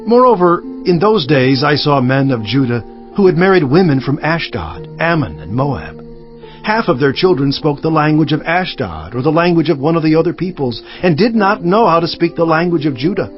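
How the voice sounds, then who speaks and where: A man playing a stern, devout governor of ancient Judah in a dramatized scripture audiobook, speaking solemnly with emphatic, measured phrasing. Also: on the high side, very animated. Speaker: audiobook narrator. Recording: slightly muffled, music underneath. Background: background music.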